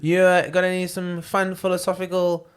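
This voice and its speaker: A man's voice: high-pitched, completely flat delivery, moderate pace, clear, resonant tone. A man from South Africa, a comedian co-hosting a podcast, teasing the others in casual banter and laughing a lot.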